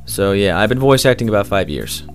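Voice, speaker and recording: Young guy voice actor chatting, low-ish voice, lots of um and uh, quiet music under it. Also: lively intonation, brisk. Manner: rambling, many fillers and restarts. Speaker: voice actor interviewee. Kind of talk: casual interview answers.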